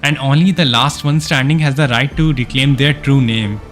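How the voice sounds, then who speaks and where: A man voicing a stern male mentor from a fantasy game, reading the part aloud in a solemn, deliberate way. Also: medium pitch, steady tone, a bit bright, soft background music, close to an everyday voice. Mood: solemn, challenging. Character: stern male arena mentor challenging a young fighter.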